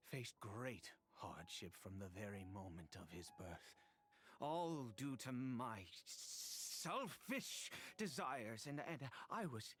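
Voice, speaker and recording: An actor playing an unhinged, grief-worn immortal nobleman in a video game, with a halting, stammering, erratic delivery. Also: medium pitch. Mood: anguished. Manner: halting, stammering, erratic, breaking into laughter and whispers. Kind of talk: dramatic video game voice acting. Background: music.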